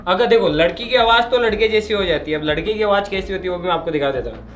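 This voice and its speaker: A young man presents to camera as a show host in an excitable, familiar manner. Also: high voice, fast talker, echoey room, faint music behind. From India. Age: young adult. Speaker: host of a science experiment channel. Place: indoor studio with plain grey backdrop. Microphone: clip-on lapel mic.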